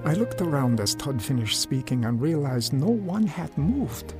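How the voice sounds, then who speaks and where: An actor playing a sincere, humble homeless man with a creaky voice, telling his story in a radio drama. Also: mid-range voice, very animated, moderate pace, close to an everyday voice. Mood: reflective. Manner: sincere, reflective. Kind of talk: radio drama first-person narration and dialogue. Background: music.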